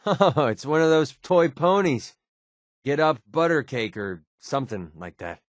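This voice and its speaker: A man's voice: a little high, expressive, measured pace, no room sound, studio quality. An actor playing a sardonic young male mercenary in a video game, with an American accent and a casual, grumbling way of talking. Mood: wry. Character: wry young male mercenary sniper in a post-apocalyptic wasteland. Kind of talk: video game companion dialogue.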